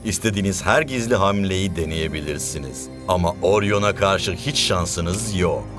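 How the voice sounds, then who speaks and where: A man's voice: sits low, normal speed, music in the background, lightly acted. A man playing a vain, gloating villain coach in a cartoon, pompous, mocking and theatrical.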